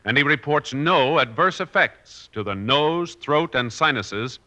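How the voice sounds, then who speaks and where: A man's voice: on the high side, lively intonation, a little slow, bright, forceful tone. A male announcer reading in a formal 1950s radio style. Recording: dull vintage radio recording.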